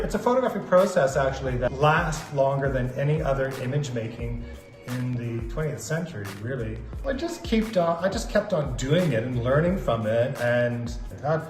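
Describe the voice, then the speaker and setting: Casting note: a chatty, enthusiastic art teacher, a man who laughs easily. Roomy recording with faint music behind him. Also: medium pitch. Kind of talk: recorded assembly talk.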